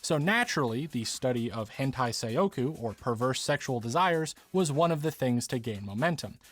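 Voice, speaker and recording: A man reading scripted, explanatory video essay narration with measured asides. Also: mid-range voice, animated.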